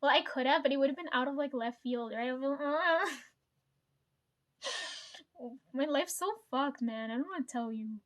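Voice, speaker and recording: A woman's voice: high voice, normal speed, pauses often. A woman vlogger telling a story to her viewers in casual chat, swearing freely and laughing often.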